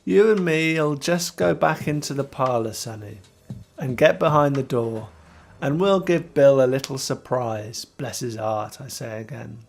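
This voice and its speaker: A man voicing a sly old sailor from a storybook, menacing and wheedling, with rough speech and dropped H's. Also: medium pitch, a little slow, faint music behind. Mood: sneering, gleeful.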